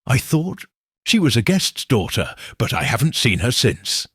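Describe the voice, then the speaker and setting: A man voicing a nervous young hotel maid in a halting audiobook reading. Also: mid-range voice, sing-song, husky, no echo, clean studio sound, heavily acted.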